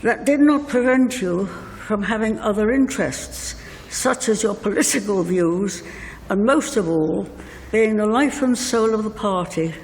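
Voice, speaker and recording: An older woman reading a prepared eulogy letter as a bereaved mother, in measured, deliberate phrases. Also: medium pitch, a little mellow. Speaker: bereaved mother giving a tribute. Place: inside a church at a funeral service. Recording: reverberant church hall, faint noise.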